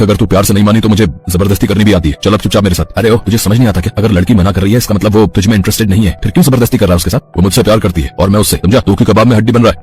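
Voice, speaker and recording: A man's voice: deep voice, darkish tone, close to an everyday voice. A man playing young office men and suitors in a romance story, earnest and dramatic, mixing English phrases into his Hindi. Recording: music behind the voice.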